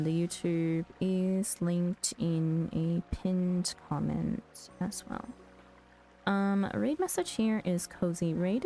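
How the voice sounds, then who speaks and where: A woman's voice: medium pitch, gravelly, mellow. A woman livestreamer chatting casually and politely to her viewers, as if wrapping up a stream. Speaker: livestreamer hosting own channel. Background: background music.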